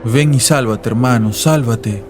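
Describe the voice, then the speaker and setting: A man voicing a feverish, shabby religious zealot with a hoarse voice, pleading insistently. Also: mid-range voice, unhurried, a little mellow, soft background music, natural voice. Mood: pleading, urgent.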